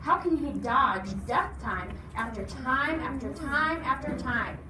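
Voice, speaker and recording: A woman's voice: sits high, lively intonation, unhurried. A woman reading a storybook aloud expressively, stopping to explain and ask questions. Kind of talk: animated storybook read-aloud with asides. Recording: noisy, echoey room, distant mic.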